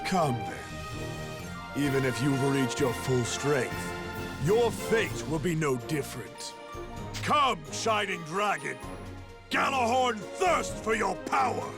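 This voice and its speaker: A cold, grandiose warlord from a fantasy game. He speaks extremely slowly and haughtily, with sweeping pitch, over prominent background music. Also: big pitch swings, full character voice. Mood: grandiose menace. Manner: haughty, deliberate, threatening. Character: menacing, arrogant male warlord villain, cold and grandiose. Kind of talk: anime fantasy game voice acting.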